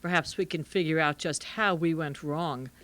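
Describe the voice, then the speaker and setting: A woman's voice: low-pitched, normal speed, fairly bright tone. A woman playing a proud, competitive scientist in an old-time radio drama, dramatic and imperious. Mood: bitter, scheming. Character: proud, competitive woman scientist, bitter rival, stubbornly undefeated. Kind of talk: Golden Age radio drama acting.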